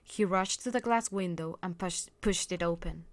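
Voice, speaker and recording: A woman reading a visual novel aloud as a storyteller, with expressive narration. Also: fairly low pitch, moderate pace, no room sound. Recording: loud music behind the voice. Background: game music.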